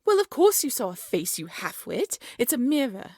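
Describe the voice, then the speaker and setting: Breathy, wildly expressive evil queen. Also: a little high, moderate pace, dry recording, studio quality. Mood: scornful, indignant. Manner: imperious, scolding, condescending, theatrical. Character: haughty, cruel, manipulative evil queen stepmother. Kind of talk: fairy-tale audio roleplay acting.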